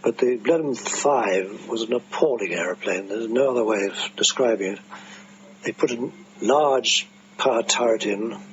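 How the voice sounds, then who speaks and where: An older man, a former air force commander, recollecting his service in an archive interview. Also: mid-range voice. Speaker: former RAF squadron commander. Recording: dull, noisy archive interview recording. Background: clear background noise.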